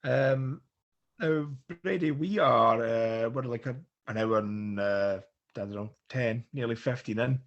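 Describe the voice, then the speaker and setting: A man hosting a podcast, slightly croaky from a cold, talking hesitantly with many pauses. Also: mid-range voice, dull audio. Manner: hesitant, many ums and restarts. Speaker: podcast host. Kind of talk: casual conversation.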